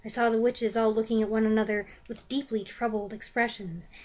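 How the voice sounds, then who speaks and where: A woman giving an expressive dramatic reading of a children's book, with joking asides. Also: on the high side, a little fast. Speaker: reader.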